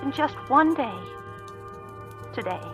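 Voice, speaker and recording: An actress playing an anxious, defensive young divorcee in a 1940s radio drama, speaking in a dramatic confessional manner. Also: female voice, high voice, expressive, slow. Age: young adult. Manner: confessional, dramatic, hesitant in dialogue. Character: anxious, defensive young divorcee living under false identity. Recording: vintage radio broadcast, music behind.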